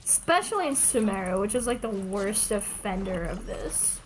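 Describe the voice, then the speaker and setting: A woman doing casual, chatty livestream commentary while playing a game, playful and quick to swear. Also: mid-range voice, lively intonation, soft background music, low-quality recording. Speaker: game streamer.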